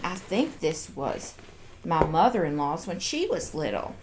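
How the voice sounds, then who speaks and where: A woman's voice: medium pitch, noisy background. A woman hosting a casual show-and-tell, chatting playfully and cooing as if to little toys and viewers. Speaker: host of a toy show-and-tell video.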